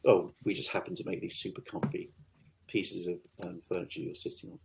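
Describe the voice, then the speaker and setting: A man hosting a podcast interview, agreeing keenly and thinking out loud. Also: deep voice, fairly even intonation, brisk, gravelly, background noise. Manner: enthusiastic, agreeable, thinks aloud. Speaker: podcast host. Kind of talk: casual interview chat.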